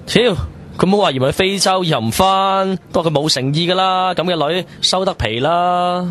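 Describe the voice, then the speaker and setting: A man's voice: high-pitched, moderate pace, a bit bright, a little hiss or hum. A man with a Hong Kong Cantonese accent playing a nosy, blunt young buddy in a casual radio drama. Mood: scornful. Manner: blunt, questioning. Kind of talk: colloquial radio drama acting.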